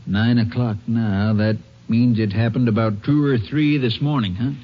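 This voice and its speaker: A man's voice: on the low side, fairly even intonation, moderate pace, natural voice. A male actor playing a sober, earnest small-town sheriff in an old-time radio drama. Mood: downcast. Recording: muffled old radio recording with noise.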